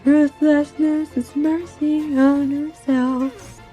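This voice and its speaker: A soft-voiced woman livestreamer chatting casually and reacting, with a musing, hesitant, playful manner. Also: high-pitched, little pitch movement, extremely slow, faint music behind, poor audio. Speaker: livestreamer, vtuber.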